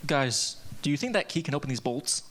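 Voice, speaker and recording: A man's voice: fairly high pitch, animated, a little fast, fairly bright tone, slight background noise. A man reading an impulsive teenage boy who leads his friends, defensive and hot-tempered, at a live table read.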